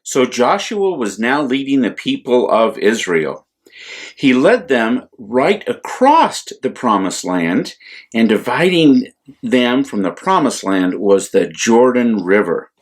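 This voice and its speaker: An American man, a priest, telling a Bible story to children in simple words with deliberate repetition for emphasis. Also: medium pitch, big pitch swings. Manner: simple, deliberate explanations with repeated phrases for emphasis.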